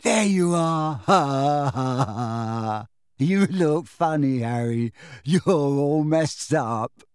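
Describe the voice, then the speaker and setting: A man voicing a dazed teenage boy in a storybook, slow, giggly and feeble. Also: fairly high pitch, lively intonation, clear, resonant tone, no echo, clean studio sound. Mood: dazed, giddy.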